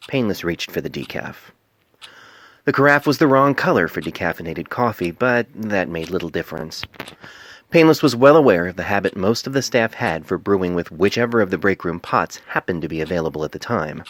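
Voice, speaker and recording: Male amateur narrator, low-pitched, reading prose fiction into a phone mic with a dry, close sound. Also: expressive, a little fast, a bit bright, no room sound. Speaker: author narrating own story. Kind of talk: scripted fan fiction narration. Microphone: cell phone microphone.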